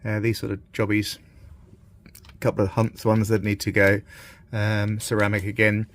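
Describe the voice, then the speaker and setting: A man's voice: fairly low pitch, monotone, moderate pace. A British man in his fifties chatting casually and thinking aloud as he gives a hands-on workshop demonstration. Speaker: vintage radio repairer presenting a video. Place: small cluttered electronics workshop, indoors. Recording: clearly noisy workshop with background ambience.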